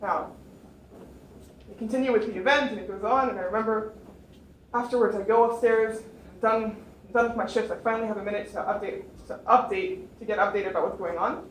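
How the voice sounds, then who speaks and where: A woman's voice: mid-range voice, fairly quick. A young woman on a panel, earnestly telling the audience about her experience. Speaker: panel speaker. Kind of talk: personal account to an audience. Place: lecture room. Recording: echoey room, mic far off, faint noise.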